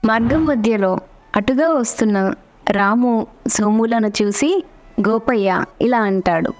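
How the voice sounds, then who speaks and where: A woman's voice: a little high, a little slow. A woman narrator reading a scripted story.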